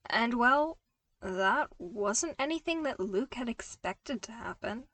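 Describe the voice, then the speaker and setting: A woman reading scripted fiction aloud as an expressive audiobook-style narrator. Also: on the high side, slow pace, no room sound. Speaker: podfic narrator.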